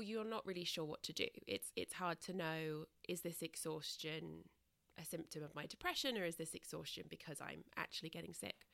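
A woman host raised in Britain, talking through a casual solo podcast, a little congested and croaky, candid and rambling with many ums. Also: fairly low pitch, pushed and bright, dry recording. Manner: chatty and candid, many ums and self-corrections.

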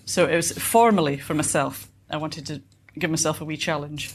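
A woman's voice: deep voice, steady tone, normal speed, a little hiss or hum. A woman in her fifties with a Scottish accent, an author answering questions conversationally in a reflective, wry way. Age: 50s. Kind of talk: conversational answers at a book event.

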